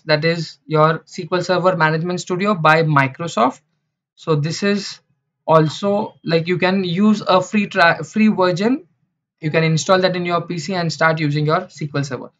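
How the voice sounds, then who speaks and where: A man's voice: high-pitched, fairly bright tone. A man teaching beginners over slides, repeating phrases with many fillers and restarts. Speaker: instructor. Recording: dull lo-fi sound.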